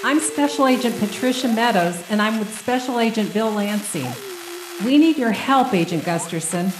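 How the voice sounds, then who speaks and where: An actress in a radio play playing an earnest, businesslike government special agent. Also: female voice, a little high, normal speed, crowd noise, lightly acted. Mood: urgent. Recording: echoey room with clear background noise.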